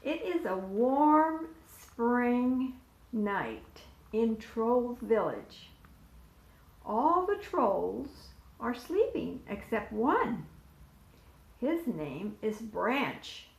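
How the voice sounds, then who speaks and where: A woman reads a picture book to small children at a very slow, deliberate pace, her fairly high voice rising and falling with lots of expression. She stops to ask questions and point at the pictures. The room is echoey. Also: big pitch swings, creaky. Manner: asks young listeners questions and points to pictures. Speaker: volunteer storyteller. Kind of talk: picture-book read-aloud for preschoolers.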